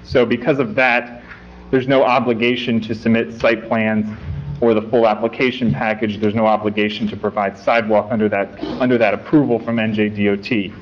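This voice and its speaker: Lower-voiced male engineer at a podium mic, measured and technical, muffled meeting-room recording with faint hiss. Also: little pitch movement. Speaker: traffic engineer testifying for applicant. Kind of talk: formal testimony at a public meeting.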